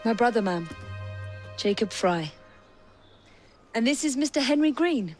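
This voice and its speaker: A woman acting a composed, affectionate young Victorian heroine in a video game, with an English accent and a measured, polite, gently teasing manner. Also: a little high, animated. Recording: soft orchestral music behind.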